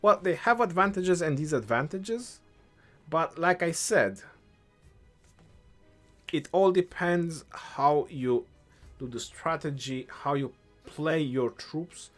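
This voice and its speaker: A young man with an Eastern European accent, answering chat questions on a game live stream in a relaxed way, thinking aloud as he goes. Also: on the high side, slow pace, long pauses, soft background music.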